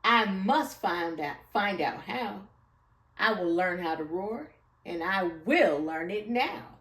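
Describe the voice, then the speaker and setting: A woman's voice: medium pitch, lively intonation, slow, fairly bright tone. A woman voicing a tiny, timid but hopeful mouse in a children's storybook, earnest and polite. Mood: determined. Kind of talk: children's storybook read-aloud. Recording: echoey room, far from the mic.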